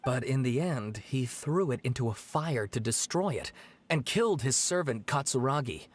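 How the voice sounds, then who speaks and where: A man's voice: medium pitch, expressive, normal speed, natural voice. A man voicing an earnest young researcher. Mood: explaining. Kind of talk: game voice acting.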